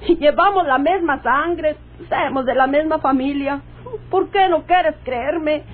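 An actress playing a desperate, sharp-tongued rural cousin with a Mexican accent, pleading in melodramatic radionovela style. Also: female voice, sits high, measured pace. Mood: pleading, tearful. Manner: insistent, imploring, melodramatic. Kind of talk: radionovela acting. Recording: dull lo-fi old radio drama recording.

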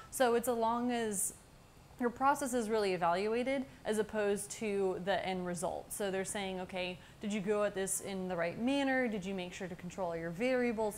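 A young woman giving an informal, upbeat and explanatory guided campus tour as a student guide. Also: mid-range voice. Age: young adult. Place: indoor university labs and hallway. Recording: steady clear background room noise.

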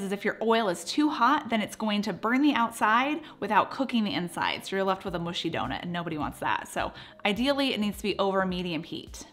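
A woman's voice: mid-range voice, a bit bright. Upbeat woman narrating a home recipe. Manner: upbeat, playful asides. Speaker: cooking channel host. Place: home kitchen, indoors.

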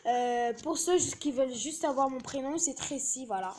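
Young girl, high voice, halting home-video chatter, faint hiss. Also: darkish tone. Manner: hesitant, many fillers. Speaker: camera operator. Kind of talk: casual home video chat. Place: small home living room. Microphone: phone camera held by speaker.

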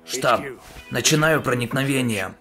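A man's voice: mid-range voice, music in the background, close to an everyday voice. A man voicing a weary, grumpy middle-aged soldier hero in a parody cartoon dub, complaining and cursing. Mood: matter-of-fact. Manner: slow, sweary, complaining.

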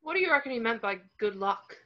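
A woman's voice: fairly high pitch, very animated, fast. A woman voicing a blunt, casual young male wizard who speaks colloquially. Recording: muffled video-call audio.

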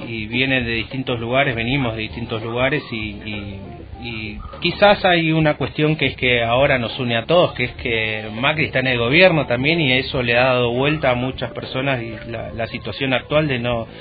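A male radio host with a Rioplatense Argentine accent chats through an interview at a middling pitch. He halts and repeats words as he goes. The sound is slightly muffled and lo-fi, with music behind.

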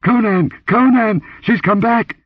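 A terrified young dancer who gasps and stammers as she begs for help, voiced by a man reading a storybook. The husky voice is lifted well above his usual register and sounds muffled and slightly far from the mic. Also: high voice, measured pace, no room sound, voice pushed up. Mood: screaming. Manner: gasping, stammering, pleading.

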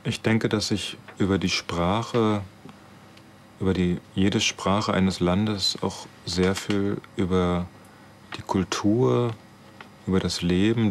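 Low-voiced man, measured and thoughtful. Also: a little hiss or hum. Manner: measured, halting in short thoughtful phrases. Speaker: radio drama director. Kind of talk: reflective interview talk. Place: indoors, radio studio control room.

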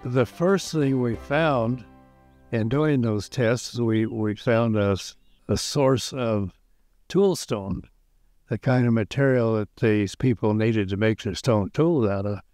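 An older American man speaks in a halting interview style, stopping partway through sentences. The sound is dry and close, with music playing behind him. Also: medium pitch, darkish tone, no room sound. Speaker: archaeologist. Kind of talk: documentary interview. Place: outdoors at a dig site.

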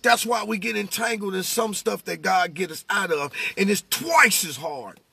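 A middle-aged American man with a raspy voice, giving impassioned, casual testimony about faith in a preacher-like way, inside a vehicle. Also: sits high, normal speed, dry recording. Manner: animated, preacher-like, repeats phrases for emphasis.

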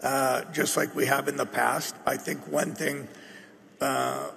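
A middle-aged man with a North American accent giving a measured, halting, unscripted business explanation as an executive at a meeting. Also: medium pitch, little pitch movement, gravelly, fairly bright tone. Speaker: company executive answering at an annual shareholder meeting. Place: large meeting hall stage. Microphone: table microphone. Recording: echoey hall through PA.

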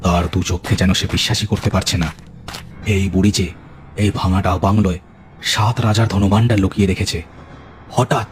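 A man's voice: low voice, flat delivery, moderate pace, pauses often, a little hiss or hum. A man narrating a scripted ghost story for a cartoon as its storyteller. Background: music.

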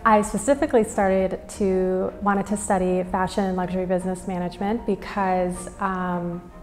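A woman's voice: mid-range voice, level tone, normal speed, darkish tone. A young woman from Minnesota with an American accent, giving an interview testimonial as a student. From Minnesota, United States. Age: young adult. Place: indoors against a plain wall and banner. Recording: reverberant hall, music underneath. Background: background music.

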